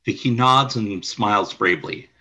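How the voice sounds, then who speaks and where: Male radio play narrator, lowish pitch, husky, forceful, scripted storytelling. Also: moderate pace.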